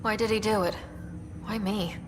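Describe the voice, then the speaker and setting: A woman's voice: medium pitch, fairly even intonation, normal speed, noisy background, natural voice. A young woman playing an earnest, bereaved space explorer in a video game, hesitant and subdued. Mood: uncertain. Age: young adult. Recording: long echoing reverb, faint music.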